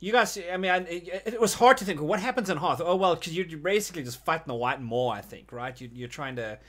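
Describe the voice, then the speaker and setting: A man's voice: a little high, animated, fast, a bit bright. A man co-hosting a gaming podcast in casual, rambling chat, hesitating as he thinks things through. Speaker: podcast co-host discussing a video game.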